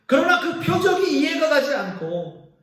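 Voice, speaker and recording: A male pastor preaching a sermon, his voice high and full of lively rises and falls. He speaks with earnest pauses, lifting some lines and dropping others to a hush. He sounds set back from the mic in a church sanctuary, where the voice rings with a heavy echo. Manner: earnest preaching with pauses, swinging between raised and hushed lines. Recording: echoey church hall from a distance.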